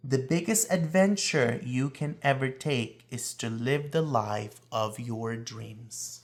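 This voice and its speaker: A man reading a children's picture book aloud in a deliberate, expressive storytelling delivery, drawing out words for emphasis. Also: medium pitch, a little hiss or hum. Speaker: read-aloud reader.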